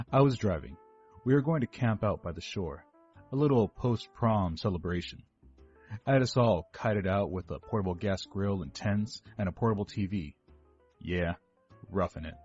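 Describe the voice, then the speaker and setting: Man playing a husky, defensive teenage guy confessing. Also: fairly low pitch, darkish tone, highs cut off. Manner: rambling, self-correcting, defensive. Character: defensive, overweight eighteen-year-old rich kid confessing to police. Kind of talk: dramatic first-person monologue reading. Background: background music.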